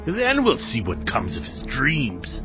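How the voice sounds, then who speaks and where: Scornful, animated jealous brother. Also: male voice, mid-range voice, takes it slowly. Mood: scheming malice. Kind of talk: dramatized scripture audio acting. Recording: dull audio over background music.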